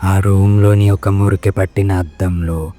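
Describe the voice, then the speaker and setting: A man's voice: sits low, level tone, a little slow, dark tone. A man narrating a scripted horror story as its storyteller. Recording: music behind the voice.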